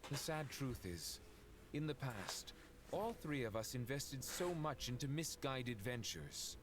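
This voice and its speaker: A man's voice: mid-range voice, expressive, slow. A man voicing a grandiose old servant of a desert king in an anime game, formal and lofty. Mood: lofty, regretful. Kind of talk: anime game dialogue acting. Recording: clear background noise.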